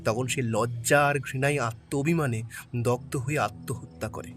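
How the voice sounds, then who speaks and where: A man's voice: medium pitch, normal speed, fairly bright tone, lightly acted. A man playing a guarded middle-aged man who tells a sad family history in a somber, reluctant way. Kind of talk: audio drama acting. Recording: faint background music.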